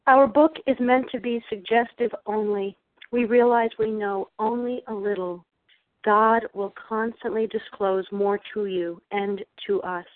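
A woman reads aloud in a steady, plain way, sounding quiet and set back from the phone. The line is tinny and dry. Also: mid-range voice, unhurried, dry recording. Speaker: meeting participant reading aloud.

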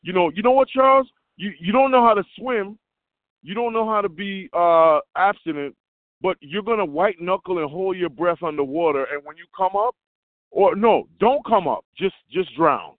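A man's voice: sits high, moderate pace, no room sound. A man sharing at a recovery meeting, blunt and emphatic, with a big plain-spoken voice. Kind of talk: personal recovery share. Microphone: phone call. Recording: tinny phone line.

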